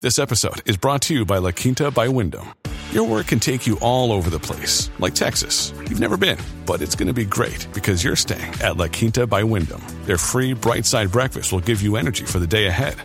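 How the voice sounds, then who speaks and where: A man's voice: fairly low pitch, animated, brisk, fairly bright tone. A man reading a scripted, upbeat sponsor message as a voice-over. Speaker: advert voice-over. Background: faint music.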